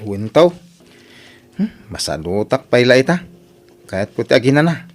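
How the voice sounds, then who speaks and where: Animated, aggrieved grown child played by a man. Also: medium pitch, moderate pace, natural voice. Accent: Ilocano. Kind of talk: Ilocano comedy drama acting. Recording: noticeable background noise.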